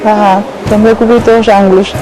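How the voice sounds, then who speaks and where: A woman's voice: mid-range voice, little pitch movement, fast talker, over music, low-quality recording. A woman working at a newspaper, answering interview questions hesitantly with many fillers. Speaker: newspaper staff member.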